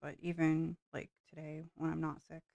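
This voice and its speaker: A woman's voice: sits low, level tone, moderate pace, gravelly, warm tone, no echo, muffled recording. A woman vlogger chatting casually while building miniatures, her voice tiring from long talking, meandering with lots of fillers. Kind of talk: rambling casual chat while building models.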